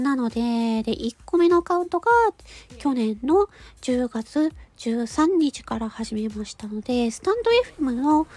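High, nasal, cartoon-cute woman's voice. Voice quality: nasal, stuffy-nosed. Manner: polite, giggly, halting phrases ending in a soft filler. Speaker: amateur voice actor hosting a personal audio channel. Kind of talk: casual chat to listeners.

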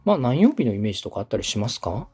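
A man's voice: fairly low pitch, big pitch swings, racing, a little mellow, breathy edge, faint music behind. A man from Osaka speaking as a podcast host, giving polite, organized step-by-step advice in a conversational way. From Osaka, Japan. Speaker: podcast host and radio director advising a beginner.

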